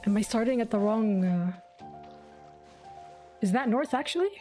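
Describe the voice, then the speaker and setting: A young woman streaming a game, with a mellow, relaxed tone at average pitch. She mutters her thinking aloud in casual, informal phrases. Game music sits faintly behind her. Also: moderate pace. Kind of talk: casual gameplay commentary.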